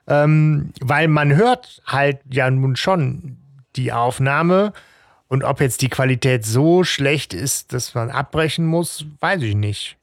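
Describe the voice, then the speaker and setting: A man's voice: medium pitch, takes it slowly, dry recording, studio quality. A man co-hosting a podcast, chatting about a radio drama with enthusiasm and lots of filler sounds. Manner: enthusiastic, hesitant with many fillers. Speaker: podcast co-host.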